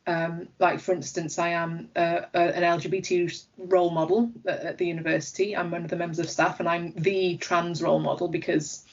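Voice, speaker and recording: A woman in her thirties with a lowish voice, explaining herself somewhat hesitantly in an interview. The recording sounds a little dull and lo-fi. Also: flat delivery, a little fast, few pauses. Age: 30s. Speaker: interviewee, university staff member. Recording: muffled lo-fi sound.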